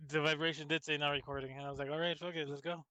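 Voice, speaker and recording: A man's voice: fairly high pitch, flat delivery, very fast, sharp tone, no echo. A man co-hosting a podcast in casual group banter, sarcastic and teasing, swearing freely. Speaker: podcast co-host.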